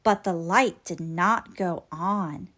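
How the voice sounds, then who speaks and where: A woman giving an expressive read-aloud narration of a children's storybook. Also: lowish voice, takes it slowly. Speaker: storybook reader.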